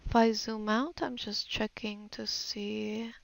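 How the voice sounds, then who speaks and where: A woman talks through a digital art tutorial at an unhurried pace. Her voice has a lot of gravelly fry at a middle pitch. She sounds unscripted and tentative, murmuring to herself as she thinks aloud. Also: fairly even intonation, dry recording.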